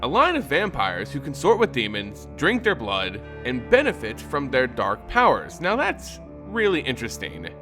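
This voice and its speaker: A man hosting and narrating fantasy lore in a scripted, theatrical and expressive storytelling style. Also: medium pitch, moderate pace, few pauses, over music.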